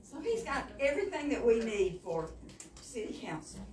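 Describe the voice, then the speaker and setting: A woman's voice: a little high, sing-song, moderate pace, a little mellow. A woman introducing a candidate at a meeting in a chatty, supportive way. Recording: noisy echoey room, distant mic.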